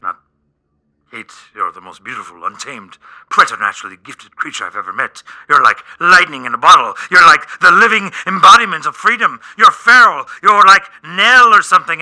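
A man plays an exasperated agent in a radio comedy, prying into an old friend's life. The voice is forceful and piercing, sitting fairly high and swinging widely. He rants with sarcastic disbelief and rambles as he goes. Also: animated, normal speed, full character voice, poor audio. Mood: mock-dramatic goading. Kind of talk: naturalistic comedic radio play dialogue.

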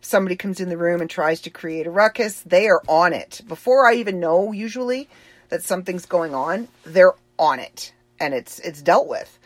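A woman's voice: lowish voice, animated, normal speed, no echo. A woman with a Boston accent giving a rambling, chatty personal update, laughing easily as she talks. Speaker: author and livestream host.

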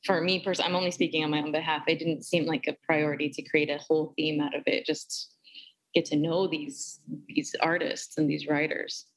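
A woman with a notably low speaking voice, though she is a soprano singer, talks in a flowing, articulate way. She shares her thoughts in a relaxed interview conversation. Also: moderate pace, poor audio.

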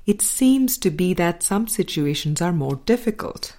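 A woman reading a scripted spiritual dialogue aloud in a measured, deliberate way, pausing between short phrases. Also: fairly low pitch, expressive, warm tone. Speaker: audiobook reader.

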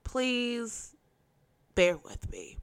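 A woman's voice: on the high side, huge pitch swings, drawn-out words, clean tone. Young female podcast host, congested and nasal from a cold, sassy and emphatic, casual commentary. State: stuffy from a cold.

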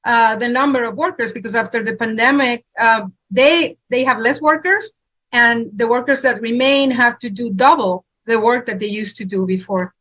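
A woman moderating and interpreting live at a briefing, piecing her phrases together haltingly. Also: a little high, fairly bright tone.

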